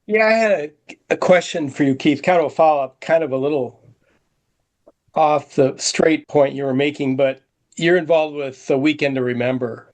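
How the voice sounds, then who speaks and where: A man on a video call asking a follow-up question in a halting, tentative way. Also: on the high side, rough recording.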